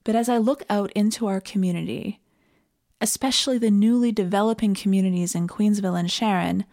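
A Canadian woman giving a reflective, scripted sermon in an earnest and measured manner. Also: mid-range voice, darkish tone. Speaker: preacher.

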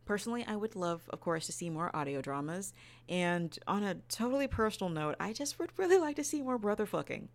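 A woman's voice: mid-range voice, expressive, fairly quick. A woman co-hosting a podcast, sharing her review in casual talk. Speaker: podcast co-host.

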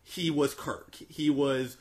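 A man's voice: a little high, steady tone, slow. A man hosting a casual, profane film discussion, telling things with enthusiastic emphasis. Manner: enthusiastic, emphatic storytelling with acted-out quotes. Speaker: host of a film review discussion. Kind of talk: casual, profane fan chat.

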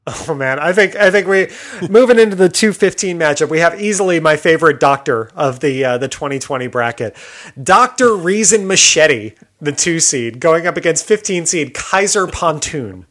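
A man's voice: a little high, expressive, normal speed, fairly bright tone. A man hosting a casual podcast chat, upbeat and laughing often as he runs through the picks. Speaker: podcast host.

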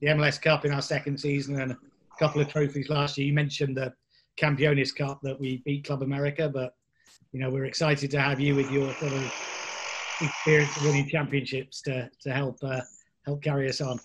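A man's voice: medium pitch, little pitch movement, brisk, slight background noise, poor audio. A man interviewing a new signing for his club, asking friendly, easygoing questions.

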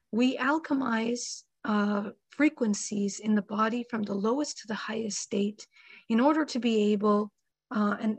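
Hoarse woman getting over a cold, gravelly and breathy, dry close sound, lots of ums. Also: fairly high pitch, dry recording. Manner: slow, measured, many ums. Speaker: panel guest. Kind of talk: spiritual discussion.

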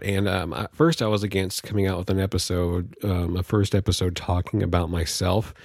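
A man's voice: sits low, a little mellow, dry recording, clean studio sound. A Californian American man hosting a podcast solo, talking casually in a rambling, jokey, informal way. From California, United States. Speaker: podcast host.

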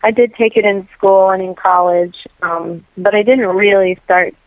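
A woman's voice: mid-range voice, steady tone, normal speed, no echo. A woman from New York and New Jersey, speaking as an interview guest, who talks earnestly and rambles with many "you know" fillers. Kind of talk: casual testimony interview. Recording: dry tinny phone-like line.

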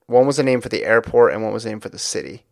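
A middle-aged man with a gravelly voice hosts a podcast and chats in a loose, upbeat way, often wandering off topic. The recording is close and dry. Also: on the low side, very fast, no room sound. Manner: chatty, rambling, upbeat. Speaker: podcast host. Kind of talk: casual chat.

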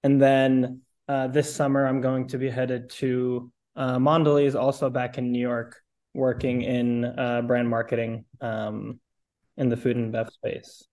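A young man from Connecticut speaks as a podcast guest in a mid-pitched, flat voice. He is hesitant and casual, with many uh fillers. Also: measured pace. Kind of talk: casual interview chat.

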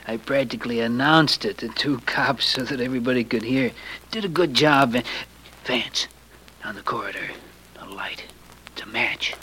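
An actor playing a plain-spoken, eager police sergeant in an old radio drama, speaking in a hushed, blunt way. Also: male voice, mid-range voice, normal speed, lightly acted. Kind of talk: old-time radio detective drama acting. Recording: noisy old radio play recording.

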